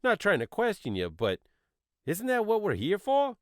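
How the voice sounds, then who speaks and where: A woman's voice: mid-range voice, huge pitch swings, moderate pace, no room sound, clean studio sound. A woman playing a nervous, evasive police officer in an audio drama, stammering and hesitant.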